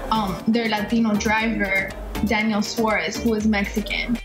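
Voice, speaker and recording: A woman's voice: medium pitch, flat delivery, moderate pace. A woman comedy content creator speaking enthusiastically in an interview, repeating words as she goes. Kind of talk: interview soundbite. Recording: echoey room with music.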